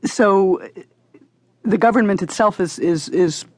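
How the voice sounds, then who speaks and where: A woman conducts an interview in a conversational style, with a medium-pitched voice. The recording is dry, with very little room sound around her. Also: slow, no echo.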